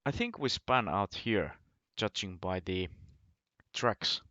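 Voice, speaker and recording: A young man from Finland with a Finnish accent, a native Finnish speaker, giving relaxed, hesitant gameplay commentary in English and often restarting his phrases. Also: lowish voice, slow pace, muffled recording. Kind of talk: casual game commentary. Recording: loud game car noise behind. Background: game engine and vehicle sounds.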